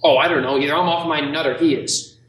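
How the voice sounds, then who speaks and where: A man's voice: a little high, fairly even intonation, fast talker, fairly bright tone, slight background noise, lightly acted. A man playing a despairing, irritable small-town family man in an amateur radio play, folksy, sarcastic and hesitant. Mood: bewildered. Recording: echoey, distant mic with music behind.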